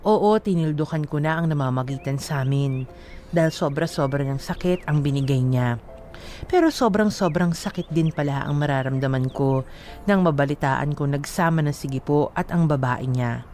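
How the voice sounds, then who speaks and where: A man's voice: fairly high pitch, normal speed, darkish tone, faint music behind. A man with a Filipino accent narrating a scripted first-person story for a radio drama.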